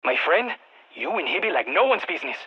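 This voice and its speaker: An actor playing an anxious, excitable nerdy scientist in a video game, speaking over a radio, expressive and prone to stammering and repeating words. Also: mid-range voice, fast, husky, dull audio. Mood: panicked. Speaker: field research scientist.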